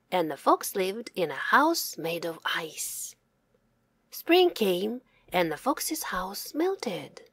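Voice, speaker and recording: A woman narrating a children's story aloud with careful, expressive enunciation for young English learners. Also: medium pitch, breathy edge, dry recording, studio quality. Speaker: storybook reader.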